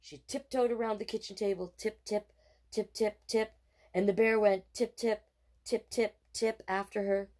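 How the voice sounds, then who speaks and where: A woman reading a children's picture book aloud in an expressive, playful way, with casual asides as storytime host. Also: mid-range voice. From Canada. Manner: expressive, deliberate, playful sound words. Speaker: storytime host and drag king performer.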